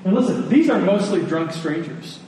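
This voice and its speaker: Male preacher, slightly high voice, conversational yet emphatic, heard at a distance in a reverberant hall. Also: lively intonation. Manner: conversational, with emphatic repetition and pauses. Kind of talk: sermon with personal stories. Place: large reverberant room with an audience. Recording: echoey hall, distant, faint noise.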